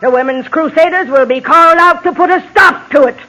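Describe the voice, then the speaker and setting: A haughty crusading matron, very high voice, forceful and sharp, righteous scolding, vintage radio drama. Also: female voice, brisk, low-quality recording. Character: stern, indignant temperance crusader matron. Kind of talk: old-time radio drama acting. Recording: vintage 1950s radio recording.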